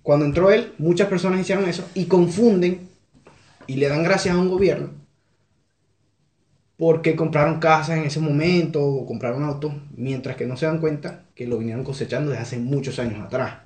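A man's voice: fairly high pitch, moderate pace, darkish tone, echoey room. A young man from Venezuela with a Venezuelan accent, answering interview questions in an earnest, reflective way. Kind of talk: conversational interview.

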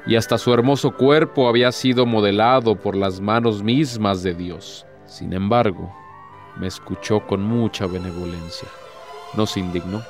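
An actor playing an ancient, courtly Devil in a radio drama, a sly and despairing tempter who speaks formally and insinuatingly. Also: male voice, on the low side, fairly even intonation, moderate pace, natural voice. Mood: sly, seductive. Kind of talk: theatrical radio drama acting. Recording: music behind the voice.

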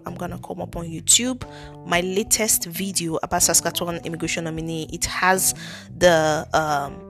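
Nigerian woman with a gravelly voice. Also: lowish voice, fairly bright tone. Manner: chatty, earnest, frequent ums and restarts. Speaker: podcast host, immigration consultant. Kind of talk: casual solo podcast talk.

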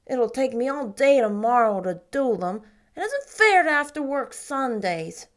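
A woman plays a sulky ten-year-old country boy in a storybook, louder and a little higher than a plain reading voice. He grumbles in blunt, homely words. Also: big pitch swings, unhurried. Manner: sulky, plainspoken, stumbles over big words.

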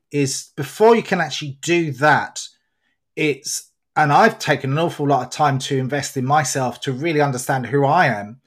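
A man with a moderately high-pitched voice hosts a reflective podcast conversation. He explains his thoughts earnestly, letting sentences wander from one point to the next. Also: a bit bright. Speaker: podcast host.

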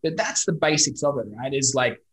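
Bright-voiced Australian man, chatty. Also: mid-range voice, a little fast. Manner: chatty, storytelling, mild swearing. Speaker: guest, digital marketing company owner. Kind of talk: casual podcast interview.

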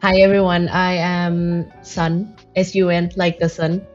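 A woman's voice: on the low side, level tone, a little slow, lo-fi sound, faint music behind. A twenty-one-year-old woman from Thailand, an English speaker, talking thoughtfully as an interview guest and searching for her words. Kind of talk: casual interview talk.

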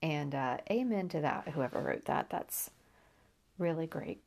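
A woman's voice: low-pitched, animated, unhurried, creaky, very noisy. A woman with a stuffy nose from allergies, hosting a Bible podcast, reading scripture aloud and then reflecting on it earnestly, with frequent restarts.

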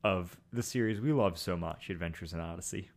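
A man's voice: low voice, brisk. A young man co-hosting a casual podcast, chatty and joking. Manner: chatty, with frequent ums and jokes. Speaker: podcast co-host.

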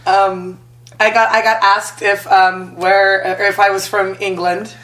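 A woman's voice: medium pitch, unhurried. A young woman comedian from Las Vegas, chatting casually on a podcast and laughing through her stories. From Las Vegas, USA. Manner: laughs often, animated storyteller. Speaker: comedian guest. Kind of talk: casual podcast chat, storytelling. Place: hotel room. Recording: echoey room.

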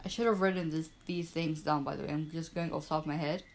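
A young woman from Bermuda hosting her own small podcast, chatting in an upbeat, self-deprecating way and spelling things out slowly. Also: low voice, fairly even intonation, vocal fry, slight background noise. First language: Portuguese and English bilingual. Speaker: podcast host. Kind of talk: casual solo podcast chat. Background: frogs and crickets at night.

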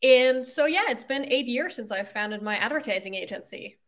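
A woman in her thirties from Austria, a native German speaker, talking through her career in a casual interview with relaxed, reflective speech. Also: a little high, normal speed. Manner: relaxed, reflective, uses "like" and "you know" often. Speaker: podcast guest, agency founder.

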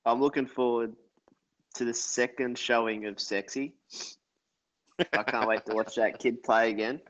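A man's voice: mid-range voice, steady tone, measured pace, a bit bright. A man talks keenly on a podcast, repeating phrases as he builds his point. His sound comes through dull and lo-fi. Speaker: podcast co-host. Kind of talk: casual podcast chat.

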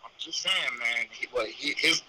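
A man's voice: mid-range voice, moderate pace, crowd noise, rough recording. A man teasing his friend in playful, slangy podcast banter. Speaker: podcast co-host.

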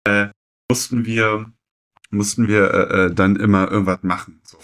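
Low-voiced young man, chatting casually. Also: flat delivery, moderate pace, a bit bright. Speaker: podcast co-host. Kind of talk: casual chat, personal storytelling.

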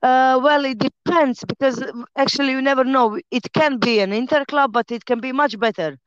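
A woman in her thirties from Croatia with a Croatian accent, a professional fighter and trainer chatting as a podcast guest, relaxed and quick to laugh, pausing often on "uh". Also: sits high, no echo, highs cut off. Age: 30s. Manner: relaxed, laughs easily, many "uh" pauses in English. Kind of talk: casual interview chat.

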